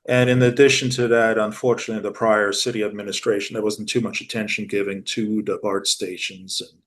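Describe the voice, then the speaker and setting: Low-voiced businesslike man from Germany. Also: little pitch movement, a bit bright. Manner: measured, businesslike, frequent "uh" fillers. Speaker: commercial real estate managing director, guest. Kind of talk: casual interview talk.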